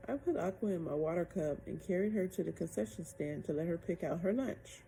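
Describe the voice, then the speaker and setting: A woman narrating a children's picture book aloud in an expressive storytelling style. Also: lowish voice, brisk, gravelly, rough recording. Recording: noticeable background noise.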